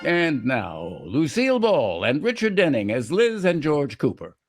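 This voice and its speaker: A man's voice: fairly high pitch, huge pitch swings, unhurried, over loud music. A man announcing and narrating in theatrical old-time radio style, reading from a script.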